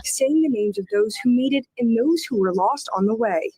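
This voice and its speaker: A woman news reporter reading a scripted TV report in a measured delivery. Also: a little high, lively intonation, warm tone, slight background noise, rough recording.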